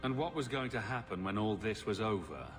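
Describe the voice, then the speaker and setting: A man's voice: fairly low pitch, expressive, a little fast, over music, natural voice. A man voicing a brash, sarcastic and impatient adventurer hero in a video game, with a blunt way of talking. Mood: sardonic.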